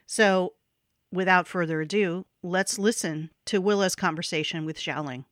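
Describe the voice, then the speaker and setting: Woman, podcast co-host, enthusiastic conversational read, lowish voice, dry close sound. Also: normal speed, a bit bright, dry recording. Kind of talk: scripted podcast intro.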